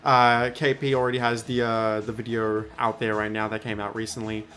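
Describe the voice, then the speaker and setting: A man hosting a casual podcast chat, hoarse from losing his voice, talking in a halting way. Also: on the low side, level tone, fairly bright tone. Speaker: host.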